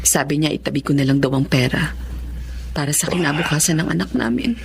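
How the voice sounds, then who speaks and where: A woman's voice: low-pitched, animated, a little fast, creaky, background noise. An actress with a Filipino accent playing an emotional, heartbroken wife and mother in a radio drama. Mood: sorrowful. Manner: emotional, pleading. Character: heartbroken wife and mother, a psychiatrist betrayed by her husband. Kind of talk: Tagalog radio drama acting.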